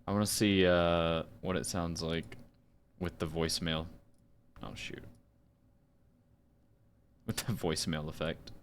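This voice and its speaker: A man who streams voice acting, chatting casually and muttering low-key asides while he works. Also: little pitch movement, measured pace, long pauses, a little hiss or hum. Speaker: streamer and voice actor. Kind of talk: casual livestream chat.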